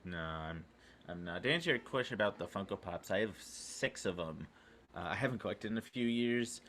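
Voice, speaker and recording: A man's voice: fairly low pitch, animated, a little fast, fairly bright tone. A man co-hosting a casual interview show in a chatty, informal way. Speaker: podcast co-host. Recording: clear background noise, room ambience.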